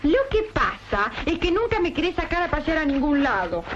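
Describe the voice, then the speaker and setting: A tart young woman who complains, with a Rioplatense accent. Her voice is high. The old track sounds dull with faint noise. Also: very animated, a little fast. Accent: Rioplatense Spanish.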